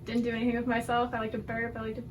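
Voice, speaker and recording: A woman's voice: fairly high pitch, monotone, racing, distant mic. A woman of twenty, talking candidly and bluntly in an outgoing, chatty way. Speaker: interviewee. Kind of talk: candid casual talk. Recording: noisy background hiss.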